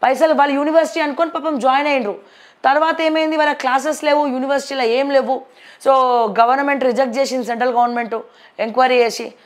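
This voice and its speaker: A woman news presenter with a distinctly high voice and a Telangana accent. She reads headlines and comments on them in a conversational, opinionated way, dropping in English words as she goes. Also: steady tone, fast talker.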